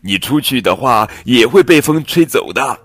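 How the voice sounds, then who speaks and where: A small fussy bird, prim and doubtful, chirping in a voice pitched well above the reader's own, as a man puts it on. storybook reading with soft music behind. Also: expressive, normal speed, husky, no room sound. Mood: skeptical.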